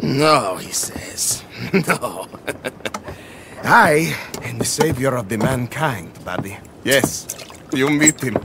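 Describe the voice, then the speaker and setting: A man's voice: mid-range voice, animated, takes it slowly, background noise. A male actor playing a bitter, boastful inventor in a video game, ranting grandly in broken English with an Eastern European accent. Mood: boastful, indignant. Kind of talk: video game character acting.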